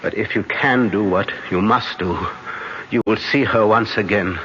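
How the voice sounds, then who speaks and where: Solemn professor in radio horror, low voice, weighty and commanding, on a noisy dulled old recording. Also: male voice, a bit bright, muffled recording. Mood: solemn, insistent. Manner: deliberate, insistent, repeats words for weight. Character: grave, commanding older male professor and vampire hunter.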